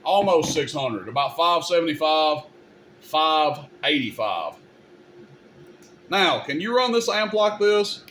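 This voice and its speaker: A man's voice: fairly high pitch, very animated, slow pace, a bit bright, slight background noise. A man hosting a casual, hands-on technical demonstration, speaking informally and directly to viewers in a folksy way. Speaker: video host demonstrating CB radio gear.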